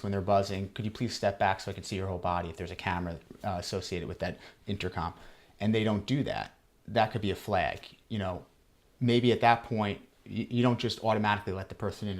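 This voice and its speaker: A man with a slightly low voice explains as an interview guest. He talks like a trainer, in a halting way, often repeating words as he builds his point.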